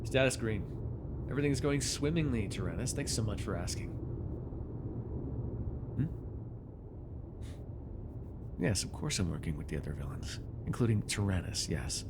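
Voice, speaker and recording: Man acting a low, gravelly, sardonic ex-hero villain. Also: lively intonation, heavy background noise. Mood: sardonic, irritated. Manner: sardonic, deliberate, addressing a captive. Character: bitter telepathic ex-hero turned villain, sardonic, coldly commanding, weary. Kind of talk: ASMR superhero roleplay acting.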